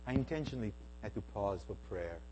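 A middle-aged man from South Africa giving an emphatic church talk, swinging between hushed and loud. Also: lowish voice, animated, a little fast, a little hiss or hum, low-quality recording. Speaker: speaker at a Bible Q and A. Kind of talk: lecture-style church talk.

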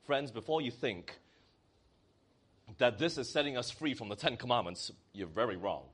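A man's voice: medium pitch, animated, normal speed, a bit bright, husky. A man preaching a sermon, reading scripture aloud and often asking the congregation to answer amen. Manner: reads scripture aloud in old-style wording.

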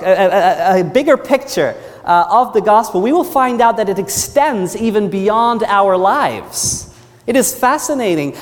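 A man preaching a sermon in an earnest manner, often repeating his phrases and asking the congregation "Amen?". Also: sits high. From New Zealand. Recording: long hall reverb.

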